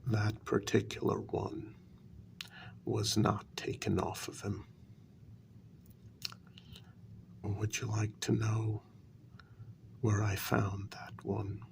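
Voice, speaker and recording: A man voicing a measured, insinuating vampire who serves his queen, in a soft-spoken, mostly whispered ASMR role-play with deliberate, drawn-out pauses. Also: on the low side, completely flat delivery, creaky, heavily acted. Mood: grave, probing.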